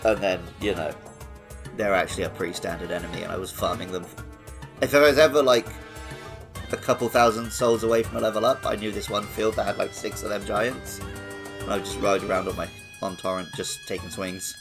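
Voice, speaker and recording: Man, low voice, podcast host, casual gaming chat, enthusiastic, music behind. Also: fairly even intonation, fairly quick. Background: background music.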